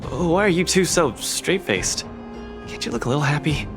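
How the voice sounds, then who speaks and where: Man voicing a cocky, animated young champion fighter. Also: a little high, normal speed, music in the background, close to an everyday voice. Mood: casual. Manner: boastful, theatrical, eager. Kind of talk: animated video game voice acting.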